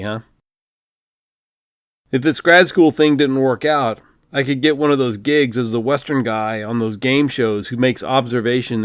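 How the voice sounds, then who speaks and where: A man's voice: mid-range voice, no room sound. Dry, wry male audiobook narrator. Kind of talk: first-person audiobook narration.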